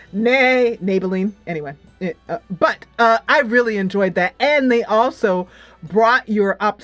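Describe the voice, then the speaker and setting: A middle-aged woman giving a casual, giggly video game review, animated and emphatic, drawing out words for effect. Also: mid-range voice, no room sound.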